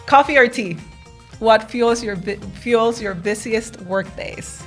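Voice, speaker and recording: A woman's voice: a little high, takes it slowly, faint music behind. A woman from Peru hosting an interview, chatty and affirming, who often tags her sentences with "right?". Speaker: interviewer host. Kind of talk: casual interview conversation.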